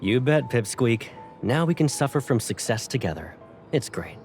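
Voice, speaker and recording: A man's voice: mid-range voice, lively intonation, moderate pace, a little mellow, soft background music, natural voice. A man playing a young pilot cadet, a doting and teasing boyfriend, in a romantic game fan dub. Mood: teasing, tender.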